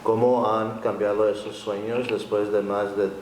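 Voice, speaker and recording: A man's voice: flat delivery, measured pace, a little mellow, distant mic. Off-mic interviewer man in an echoey room. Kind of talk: casual interview questions. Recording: roomy, off-mic with rumble.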